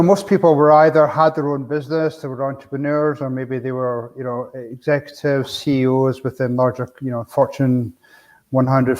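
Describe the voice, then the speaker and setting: A middle-aged Scottish man speaking in a plainspoken, casual way as a podcast guest. His voice sits at an ordinary middle pitch, and he tells his stories loosely, with plenty of "you know" along the way. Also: a little mellow. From Scotland. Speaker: business coach guest.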